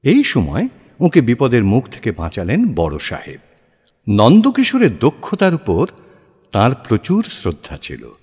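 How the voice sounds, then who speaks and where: A man narrating a literary audio story in a measured, expressive, deliberate way with pauses. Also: mid-range voice, slightly rough. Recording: long hall-like reverb.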